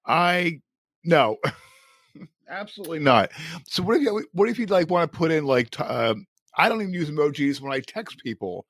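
A man's voice: on the high side, very animated, normal speed, fairly bright tone, breathy edge, dry recording. A man co-hosting a podcast in casual chat, laughing often and trailing off into restarts. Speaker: podcast co-host.

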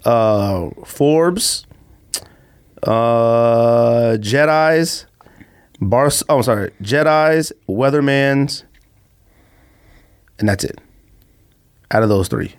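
A man's voice: medium pitch, expressive, drawn-out words, long pauses, smooth. Man bantering as a podcast host. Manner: teasing, opinionated. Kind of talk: casual sneaker podcast banter.

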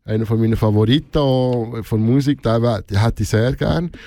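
Middle-aged man, Swiss German accent, mellow timbre, dry recording, casual interview talk, reflective and halting. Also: mid-range voice, no room sound. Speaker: radio show host being interviewed.

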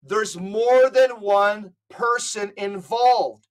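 A man's voice: high voice, drawn-out words. Guest man, earnest and emphatic, stumbles and restarts as he presses his point. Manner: earnest, repeats and restarts phrases. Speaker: podcast guest. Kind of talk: emphatic conversational commentary. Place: California.

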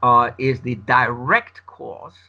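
A man whose first language is Spanish gives a long interview answer, arguing in a measured, emphatic way. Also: medium pitch. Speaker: interview guest. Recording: dull lo-fi recording.

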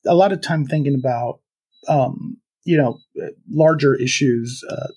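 A man from Venezuela speaking as a podcast guest, thoughtful and halting, with many fillers and restarts. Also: mid-range voice, a little mellow, breathy edge. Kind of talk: reflective conversational interview.

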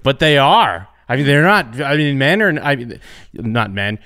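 A man pitching story ideas in a casual chat, animated and halting with many fillers. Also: clean tone, dry recording, clean studio sound. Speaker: co-writer brainstorming a story. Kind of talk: casual creative brainstorming chat.

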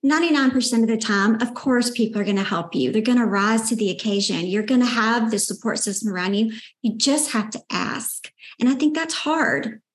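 A woman with a Southern accent speaks in a sweet, somewhat high voice. She tells her story candidly and with quiet determination, restarting phrases as she thinks. The recording has a noticeable roomy echo. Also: fairly quick. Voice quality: sweet-toned voice. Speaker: guest, founder and CEO. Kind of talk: casual interview chat.

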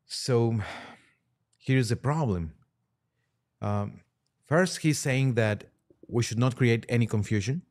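A man reading quotes aloud and commenting on them as a podcast guest, with halting, deliberate phrasing. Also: medium pitch, darkish tone.

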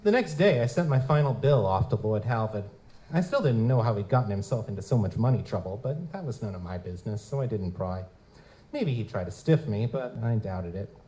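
A man's voice: fairly low pitch, warm tone, lightly acted, low-quality recording. A man playing a weary, wisecracking private eye in a noir audio drama, tough, slangy and sardonic. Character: street-level green goblin-like male private detective, world-weary, wisecracking, wary. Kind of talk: hardboiled noir audio drama narration and dialogue. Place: live stage performance at a convention.

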